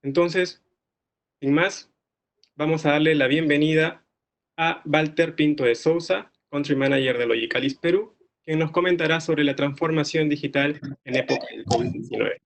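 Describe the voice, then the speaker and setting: A man hosts an online panel and introduces the speakers in formal, orderly order. His voice sits a little high and has a gravelly fry. He speaks over a video call. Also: moderate pace. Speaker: webinar moderator. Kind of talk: moderating an online event.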